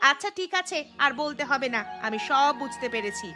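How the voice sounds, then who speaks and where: A woman's voice: sits high, animated, brisk. A woman voicing a discontented, sarcastic young village newlywed in a cartoon, complaining as she talks. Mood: bitter complaining. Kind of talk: Bengali cartoon voice acting. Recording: music behind the voice.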